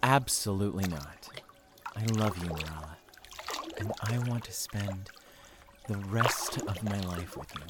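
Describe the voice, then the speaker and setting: A man's voice: low-pitched, a little slow, heavy background noise. An actor playing a carefree, playful young man in love with a mermaid in an audio drama. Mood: tender, earnest.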